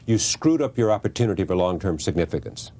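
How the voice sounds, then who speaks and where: A man's voice: sits low, big pitch swings, fast talker, dark tone. An older man hosting a talk show, pressing his guest with questions and cutting in as he talks. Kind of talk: probing interview questions.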